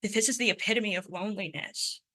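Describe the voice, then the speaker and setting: A woman's voice: medium pitch, a little fast, pushed and bright. A woman in her twenties giving a prepared personal talk, speaking with a stutter and occasional sound repetitions in an earnest, emphatic way. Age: 20s. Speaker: conference speaker.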